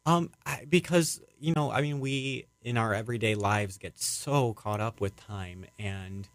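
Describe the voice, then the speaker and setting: A man's voice: lowish voice, unhurried, dry recording. A young man answering interview questions as a playwright, casually, in a hesitant, rambling and reflective way.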